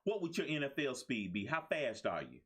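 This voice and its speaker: A man's voice: medium pitch, big pitch swings, a little fast, a bit bright, slightly rough. A man hosting a talk show, teasing his guests playfully and laughing often. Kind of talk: casual interview chat.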